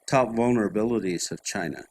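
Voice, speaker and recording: A man's voice: fairly low pitch, expressive, fairly quick, a little mellow, a little hiss or hum. A male moderator asking a long, rambling question in a conversational manner.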